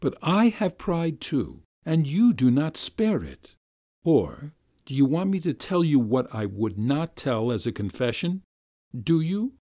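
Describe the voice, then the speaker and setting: A man voicing a feeble, pleading gentleman. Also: very animated, unhurried, no room sound, studio quality. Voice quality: soft, subdued, ingratiating. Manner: pleading, rising to high plaintive appeals. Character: weak, ingratiating middle-aged gentleman, pleading.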